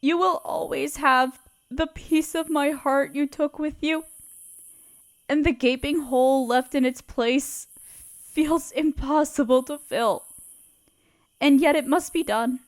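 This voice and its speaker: A woman voicing a grieving young woman who writes a letter to her lost love, speaking softly and tenderly while sniffling through tears. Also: high voice, fairly even intonation, slow pace. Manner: soft, hushed and tender. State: sniffling, tearful. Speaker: audio roleplay performer. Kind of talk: intimate audio roleplay reading a letter.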